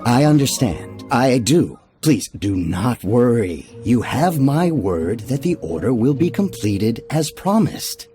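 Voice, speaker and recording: Servile middle-aged merchant, obsequious, slow, swooping pitch, video game villain dialogue. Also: male voice, big pitch swings, warm tone, soft background music. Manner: obsequious, placating. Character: oily, servile, scheming gentry merchant man, middle-aged.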